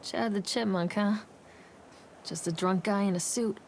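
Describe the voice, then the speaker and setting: A woman's voice: mid-range voice, fairly even intonation, unhurried, a little mellow, a little hiss or hum, natural voice. A woman acting an anxious mother searching for her missing young son in a video game. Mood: wistful, uneasy. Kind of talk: video game acting, calling out and inner monologue.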